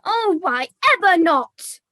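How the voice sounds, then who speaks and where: A woman's voice: very high-pitched, expressive, takes it slowly, bright, forceful tone, no echo, studio quality, full character voice. A young woman voicing a bossy, exasperated puppet in a comedy puppet show, with a stern, scolding manner. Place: video call.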